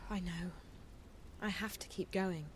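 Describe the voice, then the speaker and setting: Earnest young heroine, lowish voice. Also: female voice, fairly even intonation, slow pace, a little hiss or hum. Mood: weary, determined. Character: earnest, determined young woman on a quest. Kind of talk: naturalistic game voice acting.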